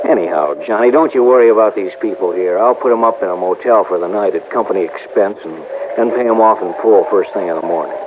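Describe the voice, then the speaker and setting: An old, noisy radio recording with a narrow, tinny band. An actor plays an earnest small-town insurance man, low-pitched, homespun and hesitant, eager to reassure in vintage radio drama fashion. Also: fast talker, few pauses, close to an everyday voice. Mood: earnest, reassuring. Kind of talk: 1950s radio drama acting.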